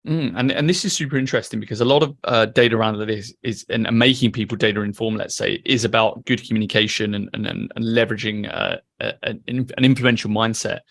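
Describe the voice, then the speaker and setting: A man hosting a podcast interview, asking questions in a quick, casual way with fillers. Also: fairly low pitch, gravelly, fairly bright tone, no room sound. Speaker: podcast host.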